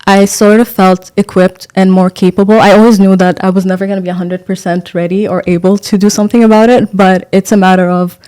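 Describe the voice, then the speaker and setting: A woman's voice: medium pitch, little pitch movement, a little fast, few pauses, mellow, clean tone. A young woman from Sudan, an entrepreneur on a panel, telling her story in a composed, fluent way.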